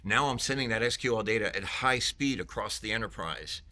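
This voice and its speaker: A man's voice: lowish voice, lively intonation, fairly quick, crowd noise. A man in marketing, talking up his company in a playful, salesy, joking way during a conference interview. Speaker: vendor marketing executive.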